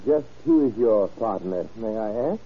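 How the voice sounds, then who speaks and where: An actor playing a courteous, formal businessman buying drugs in 1940s radio drama, speaking in deliberate, stilted polite phrases. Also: fairly low pitch, expressive, dark tone. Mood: businesslike, guarded. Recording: muffled, husky old radio recording.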